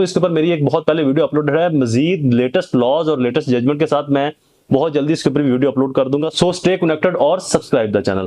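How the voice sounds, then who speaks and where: A man with a Pakistani accent and a somewhat high voice speaks as an advocate explaining law to viewers. His delivery is informal but emphatic, with rhetorical questions and English legal terms mixed into his speech. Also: a little mellow. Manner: emphatic, poses rhetorical questions and answers them.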